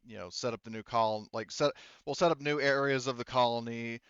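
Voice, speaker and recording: A man voicing a weary, candid woman engineer in a tabletop sci-fi game, speaking haltingly. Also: medium pitch, steady tone, a bit bright, clear, resonant tone, no echo, muffled recording, close to an everyday voice. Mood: thoughtful, candid.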